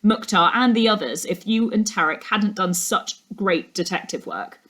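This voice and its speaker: A woman voicing an earnest detective uncle in a storybook, measured and serious. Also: medium pitch, lively intonation, a bit bright. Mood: earnest, proud. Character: earnest, proud detective uncle, grown man. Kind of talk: storybook dialogue.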